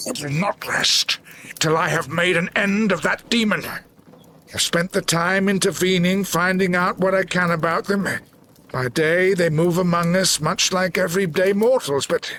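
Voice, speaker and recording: An actor playing a grim, vengeful old general in a gothic audio drama, speaking formally and commandingly. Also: male voice, sits high, measured pace, sharp tone. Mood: grim resolve.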